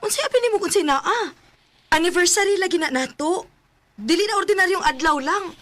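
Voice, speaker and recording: A woman's voice: very high-pitched, animated, a little fast, fairly bright tone. A Cebuano actress playing a devoted, easily hurt young woman in a romantic radio drama, with an emotional, pleading manner. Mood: upset, indignant. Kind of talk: Filipino radio drama acting.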